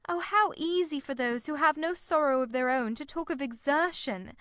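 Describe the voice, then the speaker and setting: A woman's voice: high-pitched, moderate pace, a bit bright, no echo, studio quality. A woman playing a heartbroken, wild young woman. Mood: anguished. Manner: pleading, sob-laden outbursts. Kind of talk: audiobook dramatization.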